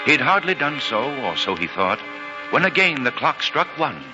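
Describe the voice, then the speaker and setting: A man's voice: mid-range voice, sing-song, moderate pace, lightly acted. A man narrating solemnly as storyteller in a 1940s radio drama. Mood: grave storytelling. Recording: dull 1940s radio, loud music behind.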